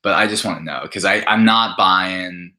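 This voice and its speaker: A man speaking as a podcast guest in casual, halting chat peppered with "like". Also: low voice, pushed and bright. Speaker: podcast guest, app maker.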